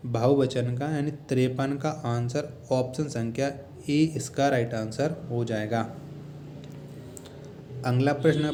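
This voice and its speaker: A man's voice: mid-range voice, mellow, slight background noise. A man teaching an exam-prep quiz lesson in an explanatory, repetitive way, posing short check questions and repeating answers.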